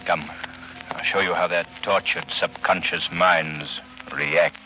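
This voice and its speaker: An actor playing a resolute, grave avenger with an authoritative, exhorting manner in 1930s radio drama style. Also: male voice, low voice, fairly even intonation, slow pace, lo-fi sound, loud music behind the voice. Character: resolute, commanding crime-fighting avenger, grave and urging. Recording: noisy, hissy 1930s radio recording.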